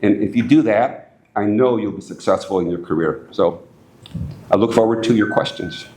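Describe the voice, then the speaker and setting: A man's voice: on the low side, moderate pace, darkish tone, poor audio. A man closing his introductory remarks on a panel in an earnest, encouraging way. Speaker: panel speaker.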